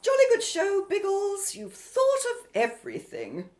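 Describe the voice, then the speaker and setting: A very high, swooping woman's voice acted by a woman, unhurried and exclamatory. She is a plucky, playful explorer from an old-fashioned radio adventure, full of jolly period turns of phrase. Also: wildly swooping pitch, a little mellow, heavily acted. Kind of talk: radio adventure drama acting.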